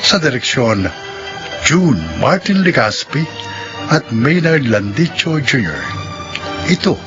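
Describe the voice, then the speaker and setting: A man announcing the cast and credits of a radio drama in a measured, solemn voice. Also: fairly high pitch, big pitch swings. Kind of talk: formal scripted announcing. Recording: muffled radio broadcast with music.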